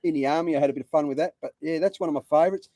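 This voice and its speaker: Laid-back Australian bloke, blunt banter. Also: male voice, a little high, very fast, mellow, no echo. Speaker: guest. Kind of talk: casual chat.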